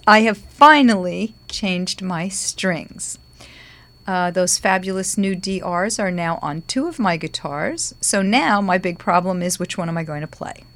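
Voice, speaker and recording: A middle-aged woman talks into a studio microphone as host of her guitar podcast. Her voice is gravelly and sits a little low for a woman. She speaks in a chatty way, often pausing on ums. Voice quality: gravelly today. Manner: chatty, warm-hearted, with frequent ums. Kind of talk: casual podcast talk.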